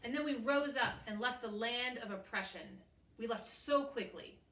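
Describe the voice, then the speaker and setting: A woman reading scripture aloud with her family during a church service, in a measured, solemn way. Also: a little high, a bit bright. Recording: echoey church, voice picked up from a distance.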